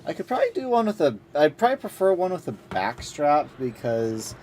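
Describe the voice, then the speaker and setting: A man's voice: on the high side, sing-song, fairly quick, a little mellow, a little hiss or hum. A man streaming a game, chatting casually and thinking aloud. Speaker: game livestreamer. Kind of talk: casual chat while gaming. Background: music.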